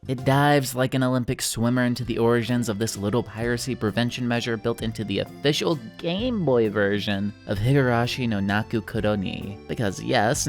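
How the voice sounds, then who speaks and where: A man narrating a casual scripted video essay in a slangy, self-deprecating, wry way. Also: mid-range voice. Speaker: YouTube video creator and host. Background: music.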